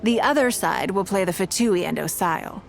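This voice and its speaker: Refined wealthy businesswoman, video game character, mid-range pitch, poised, measured, articulate, courteous and shrewd, music behind. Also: lively intonation, vocal fry. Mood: thoughtful, proud. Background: background music.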